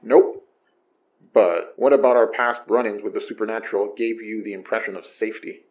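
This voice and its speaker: A dry, tired detective in his thirties, voiced by a man for an audio drama. His voice sits low and the recording sounds a little muffled. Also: fairly quick, close to an everyday voice. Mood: deadpan. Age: 30s. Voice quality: terse, dry and deadpan. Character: gruff weary male detective, 38, dry and terse.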